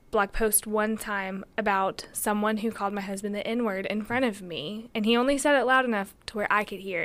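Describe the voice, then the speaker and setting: A woman's voice: mid-range voice, a bit bright. Female podcast co-host, mid-range voice, candid, dry humor. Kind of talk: casual podcast conversation.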